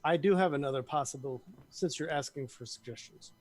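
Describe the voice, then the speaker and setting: A man's voice: on the high side, brisk, dry recording, soft background music. A man playing a tabletop game, reasoning aloud hesitantly with fillers. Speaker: tabletop player in character. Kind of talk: casual roleplay chat.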